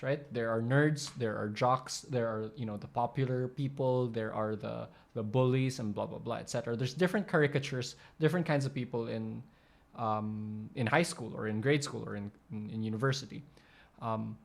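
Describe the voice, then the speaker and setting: A man talking through a clip in a loose, unscripted way, stopping and restarting mid-sentence, filling gaps with "um" and "like". his pitch is middling, neither high nor low. he sounds like he is thinking out loud as he goes. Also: creaky. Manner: rambling, many fillers and restarts. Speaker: channel host explaining coaching. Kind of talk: casual commentary over a video.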